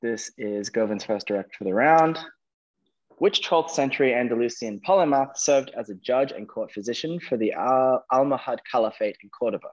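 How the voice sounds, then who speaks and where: A man's voice: mid-range voice, normal speed, a little mellow, dull audio. A man hosting a quiz, reading out questions and giving verdicts on answers. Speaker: quizmaster.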